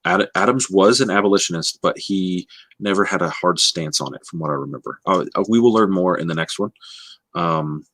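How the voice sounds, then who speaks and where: Male book livestream co-host, deep voice, dry close sound, halting casual delivery. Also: a bit bright, no echo. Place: indoors, livestream call.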